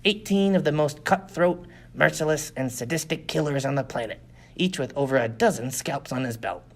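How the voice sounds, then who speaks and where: A man's voice: on the high side, moderate pace. A man reading a science fiction adventure story as dramatic scripted narration. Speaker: audiobook reader.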